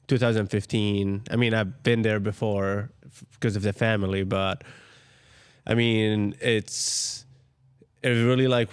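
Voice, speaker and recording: A young man from Jordan, a restaurant co-owner, talking as a guest in a soft-spoken way and searching for words. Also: lowish voice, clean tone, no room sound, studio quality. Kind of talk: casual interview chat.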